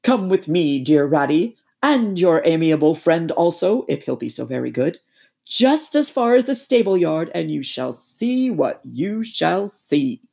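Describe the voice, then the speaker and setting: A woman voicing a boastful, excitable male toad who gushes and wheedles in a storybook reading. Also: on the low side, a little slow, gravelly, slightly rough, heavily acted. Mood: lofty. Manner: gushing, exclamatory, wheedling.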